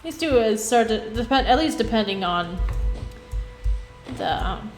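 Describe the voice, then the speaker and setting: A woman game streamer giving casual livestream commentary, rambling and thinking out loud. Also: fairly high pitch, expressive, a little slow, faint music behind. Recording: roomy sound, faint noise.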